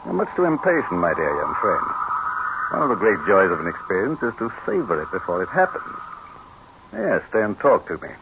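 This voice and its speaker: An actor playing an imperious dictator in 1930s radio drama, pompous and condescending, savoring every phrase, on a muffled, noisy old recording. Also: male voice, lowish voice, very animated, a little fast. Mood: amused.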